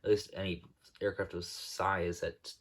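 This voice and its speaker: A man's voice: sits low, flat delivery, a little slow. A young man with a sore, dry throat casually narrating a walkthrough of his model airport, rambling with many fillers and self-corrections. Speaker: model airport hobbyist showing his build.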